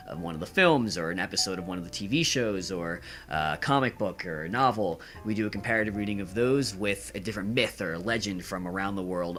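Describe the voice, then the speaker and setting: A young man answering casually as a podcast guest, earnest and halting, repeating words. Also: fairly low pitch, fairly bright tone. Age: young adult.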